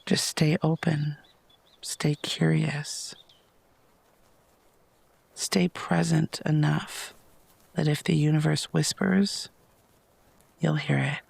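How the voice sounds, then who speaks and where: Soft man with heavily creaky, breathy voice. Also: a little high, steady tone, dry recording. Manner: soft, breathy, lapsing into near-whispers; unhurried, gentle, with long pauses. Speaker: guided meditation host. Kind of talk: guided meditation narration.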